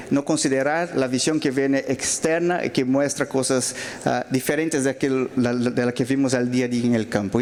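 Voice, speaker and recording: A man's voice: mid-range voice, a little mellow. A man from Brazil with a Brazilian Portuguese accent speaking Spanish, giving closing remarks at a conference panel with many hesitant fillers and restarts. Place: large auditorium.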